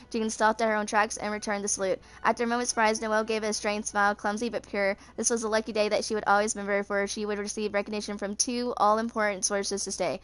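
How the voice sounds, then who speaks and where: A woman's voice: medium pitch, clear, resonant tone. A woman in her twenties reading story text aloud in an amateur way, stumbling over words and correcting herself. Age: 20s. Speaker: video creator reading game lore aloud.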